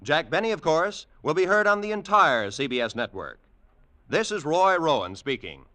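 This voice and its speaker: A man's voice: on the high side, sing-song, normal speed, sharp tone. A man with an American accent reading scripted credits and promos as a 1940s radio announcer. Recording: old radio broadcast, music behind.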